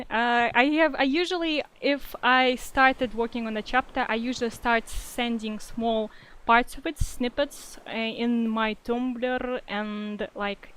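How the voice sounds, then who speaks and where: A woman's voice: on the high side, fairly bright tone, a little hiss or hum, low-quality recording. A young woman with a Russian-influenced accent answering reader questions in a casual livestream, hesitant and full of fillers. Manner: hesitant, many fillers and restarts, reads comments aloud. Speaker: fanfiction writer answering readers.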